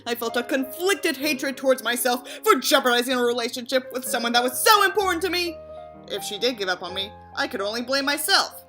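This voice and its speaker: A woman's voice: sits high, animated, brisk, bright, forceful tone, faint music behind. A woman reading a story aloud as a narrator, breaking in with mocking, sarcastic asides and casual swearing. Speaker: audiobook reader and commentator.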